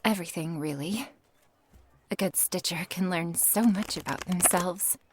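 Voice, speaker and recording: A woman playing a flustered, earnest young witch who reads tarot, in an ASMR roleplay with a halting manner. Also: on the low side, noisy background, natural voice. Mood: earnest, chatty. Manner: halting, breathy asides, nervous little laughs and sighs. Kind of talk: ASMR roleplay acting, addressed to the listener.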